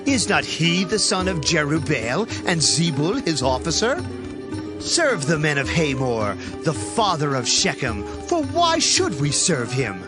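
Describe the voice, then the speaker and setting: A man plays a defiant rebel leader in a biblical audio drama. His voice is high and swings widely as he declaims his boasts. Music plays clearly behind him. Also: wildly swooping pitch, unhurried. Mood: defiant, scornful. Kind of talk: dramatized Bible reading.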